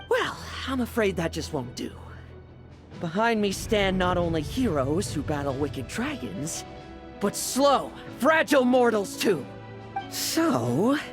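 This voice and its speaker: A man's voice: high-pitched, exaggerated voice. A young man playing a cocky, sardonic young knight vice captain in a video game, theatrical and taunting. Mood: menacing calm, then battle-fierce, taunting. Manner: theatrical, taunting, swinging from soft to shouted. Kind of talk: dramatic video game voice acting. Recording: loud music under the voice.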